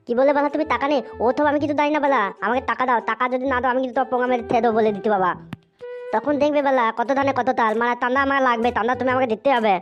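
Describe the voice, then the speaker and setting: Insolent little cartoon boy female performer, very fast, muffled audio. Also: sits high, faint music behind, close to an everyday voice. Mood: demanding. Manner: whining, pleading, insolent. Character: bratty foul-mouthed little cartoon boy, whiny and demanding. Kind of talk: comedy cartoon dubbing.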